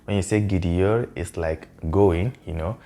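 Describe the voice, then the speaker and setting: Young man, Yoruba native, deep voice, friendly explainer, lapel mic in a small room. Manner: relaxed, friendly explaining, slows to spell out words. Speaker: language vlogger. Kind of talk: explanatory talk to camera.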